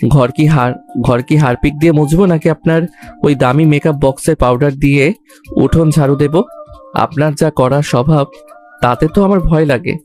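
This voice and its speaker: A man's voice: on the high side, moderate pace, darkish tone, natural voice. A man playing a cheeky young servant in an audio story, teasing with mock politeness and sarcasm. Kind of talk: Bengali audio story acting. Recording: background music under the voice.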